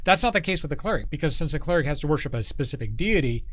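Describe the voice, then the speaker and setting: A man's voice: on the high side, lively intonation, rapid-fire, a bit bright, dry recording. A middle-aged man chatting casually as a guest on a livestream, rambling and thinking aloud as he goes. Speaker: guest, tabletop game creator.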